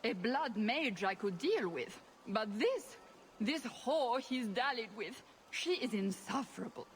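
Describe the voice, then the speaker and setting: Haughty game countess, middle-aged; high voice, melodramatic indignation, faint noise behind. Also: female voice, sing-song, measured pace. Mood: indignant.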